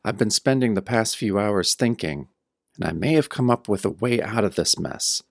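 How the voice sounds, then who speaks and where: Man acting a wry hard-boiled hero, low voice. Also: expressive, normal speed, gravelly, no echo, clean studio sound. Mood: determined, wry. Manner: wry, hard-boiled first-person storytelling. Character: wry, battered tough-guy hero narrating his own pulp horror tale. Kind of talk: modern old-radio-style audio drama narration.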